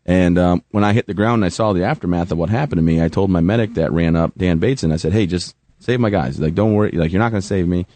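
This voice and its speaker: A man's voice: sits low, quick pace, few pauses, dark tone, dry recording. A man in his thirties, a veteran guest telling his story with self-mocking humor. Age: 30s. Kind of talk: joking then earnest interview talk.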